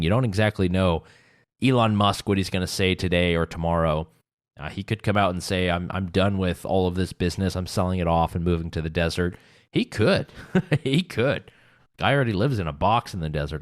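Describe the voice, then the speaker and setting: A male radio talk show host giving opinionated commentary in a sarcastic, animated way. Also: sits low, no echo, clean studio sound. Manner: animated, sarcastic, laughs while ranting.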